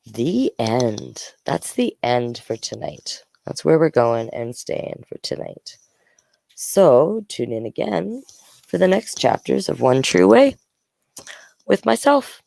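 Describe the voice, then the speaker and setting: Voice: mid-range voice, sing-song, slow, vocal fry, a little mellow, no room sound. A storyteller reading a children's novel aloud in an informal way, acting out the dialogue. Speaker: storyteller, host of a read-aloud series.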